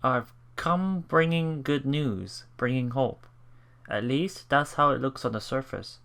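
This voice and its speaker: Gravelly-voiced man reading a game aloud. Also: medium pitch, a bit bright. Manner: halting, thinking aloud between read passages. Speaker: let's play host. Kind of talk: reading visual novel text aloud with commentary.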